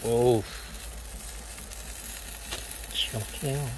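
A man's voice: mid-range voice, steady tone, moderate pace, background noise. A man in his thirties filming himself with a phone outdoors, narrating a casual, upbeat vlog straight to the camera. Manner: upbeat, chatty, talks to the camera. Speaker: vlogger. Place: outdoors in an overgrown yard. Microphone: phone at arm's length.

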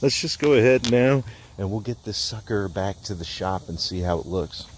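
A man's voice: on the low side, moderate pace. An American man giving casual, folksy vlog commentary as the host of his own video. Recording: clear background noise.

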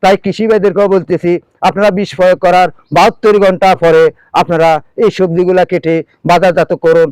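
A man's voice: high-pitched, flat delivery, brisk, a little mellow, smooth, dry recording, rough recording. A middle-aged man, giving an earnest, emphatic and pleading advisory talk to viewers as a farmer, repeating key phrases. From Bangladesh. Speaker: farmer and farming video presenter.

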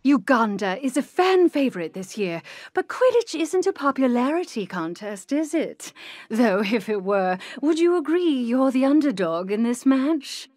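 A woman's voice: high-pitched, sing-song, a little slow, dry recording, full character voice. A woman playing a match commentator in a wizarding video game, calling play-by-play like an announcer. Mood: pointed. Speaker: sports commentator. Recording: loud music bed. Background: loud game music.

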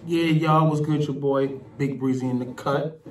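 Young American man, higher voice, slangy gossip commentary, echoey room, set back from mic. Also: fairly even intonation, normal speed, a little hiss or hum. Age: young adult. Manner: slangy, frequent "you know what I'm saying" tags. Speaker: YouTube commentator. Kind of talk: informal gossip commentary to camera. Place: small bare room indoors. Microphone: desk condenser mic with pop filter. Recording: roomy echo, distant from mic.

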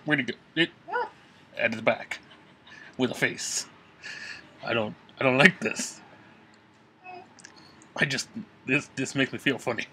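A man playing a nervous, excitable, down-on-his-luck puppet in an improvised comedy puppet show, with a rambling, stammering, raspy delivery. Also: high voice, exaggerated voice. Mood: shocked, frantic, then creeped out.

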